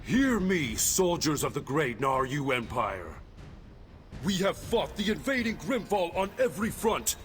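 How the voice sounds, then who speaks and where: A man's voice: a little high, fairly bright tone, exaggerated voice. A male actor playing a bold imperial military leader in a video game, declaiming rousing battle oratory to troops.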